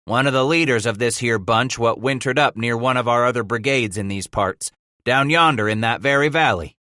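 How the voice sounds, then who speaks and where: A man's voice: mid-range voice, normal speed, bright, forceful tone, no echo, clean studio sound, close to an everyday voice. A man voicing a young, good-humored fur trapper leader in a rustic mountain-man dialect for an audiobook. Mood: wry, explaining.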